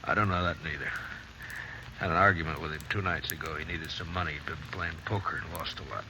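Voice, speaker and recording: Deep-voiced, troubled frontier father. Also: steady tone, fast. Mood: troubled, ashamed. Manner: plain rustic speech, heavy and pained. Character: anguished, stubborn frontier father, former hard lawman. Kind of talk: old-time radio western acting. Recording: noisy vintage radio recording.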